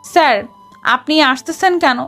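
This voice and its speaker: A woman's voice: high voice, normal speed, fairly bright tone, soft background music. A woman playing a feisty, sassy young officer in a romantic audio story, with a melodramatic manner. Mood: panicked. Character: feisty, dramatic young woman officer in love with her boss.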